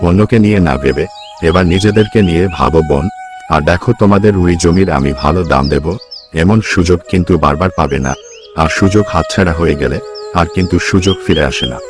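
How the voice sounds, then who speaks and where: A man's voice: low-pitched, fast talker, faint music behind, natural voice. A man voicing a sly middle-aged village headman in a cartoon, coaxing with false friendliness. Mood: persuasive. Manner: persuasive, wheedling, falsely friendly. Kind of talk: Bengali cartoon story voice acting.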